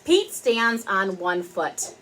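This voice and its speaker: A woman reading a picture book aloud to young children, expressive and speaking to them directly. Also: medium pitch, takes it slowly, slight background noise, poor audio. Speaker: parent and teacher reading aloud.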